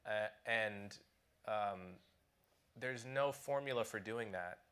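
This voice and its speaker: A man speaking as an author on a conference panel, thoughtful and halting, often restarting his sentences. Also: on the low side, fairly bright tone.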